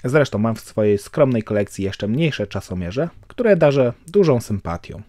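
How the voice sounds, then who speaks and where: A man giving a calm, measured voice-over for a watch review, explaining technical details. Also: medium pitch, no room sound, studio quality.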